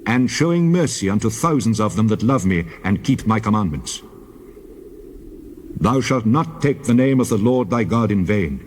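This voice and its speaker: A man playing God as a solemn, commanding deity, measured and declamatory in a dramatized scripture reading. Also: mid-range voice, darkish tone, slight background noise, close to an everyday voice.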